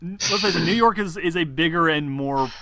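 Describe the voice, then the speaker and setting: A man's voice: on the high side, fairly quick, sharp tone. A man acting as game master in a casual online tabletop session, prone to swearing. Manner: foul-mouthed.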